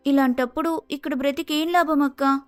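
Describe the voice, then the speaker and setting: A woman's voice: sits high, steady tone, brisk, dry recording, soft background music, natural voice. A woman voicing an outspoken, indignant young sister who speaks in a blunt, urging way.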